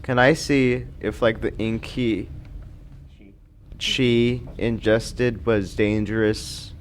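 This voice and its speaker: A man playing a tabletop game, chatting casually in a hesitant, halting way. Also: medium pitch, fairly even intonation. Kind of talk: casual chat during a game. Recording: noisy room recording.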